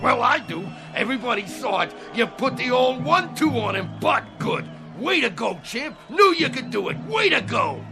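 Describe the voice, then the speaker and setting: A jovial, streetwise boxing manager in a radio drama. His voice is very high, lively and crackly with fry. He talks in emphatic, folksy slang and is fiercely proud of his fighter, with music behind him. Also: normal speed, few pauses, heavily acted. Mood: elated, proud.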